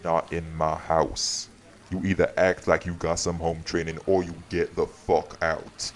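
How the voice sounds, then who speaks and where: A man playing a weary, courtly vampire host, gravelly and firm, in an audio drama. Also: low-pitched, flat delivery, a little slow, a little hiss or hum. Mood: stern warning. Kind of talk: audio roleplay drama acting.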